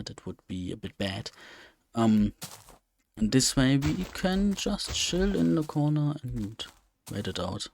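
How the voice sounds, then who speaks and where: A man commentates his gameplay in a casual, unscripted way. His voice is on the low side and gravelly with creak. He speaks very slowly and hesitantly, rambling as he thinks aloud. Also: a little hiss or hum. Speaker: let's play host.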